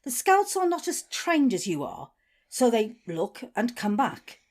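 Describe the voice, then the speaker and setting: A high-pitched, highly animated woman leader played by an actress in an audio drama. She speaks with authority, confiding one moment and ruthless the next, her pitch swinging widely. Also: measured pace. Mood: businesslike, commanding. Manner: authoritative, swearing, shifts from supportive to domineering. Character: commanding female community leader, confiding yet ruthless.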